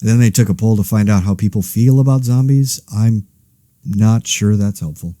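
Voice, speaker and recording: Mellow, wry, exasperated man podcasting as an actor. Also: fairly low pitch, normal speed, no echo, studio quality, lightly acted. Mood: wry, frustrated. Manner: wry, rambling, self-interrupting. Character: exasperated, anxious everyman podcaster during a zombie epidemic. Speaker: host of a fictional monologue podcast. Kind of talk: conversational audio-drama monologue.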